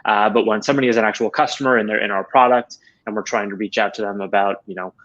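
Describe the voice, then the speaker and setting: Low-voiced man on a dull video call. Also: steady tone, fast talker, fairly bright tone. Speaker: interview guest, head of support operations. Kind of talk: conversational interview answers. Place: small home room, indoors.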